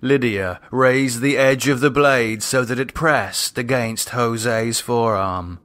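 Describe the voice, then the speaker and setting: A man narrating a horror novel in a measured, dramatic storytelling manner. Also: medium pitch, fairly bright tone. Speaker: audiobook narrator.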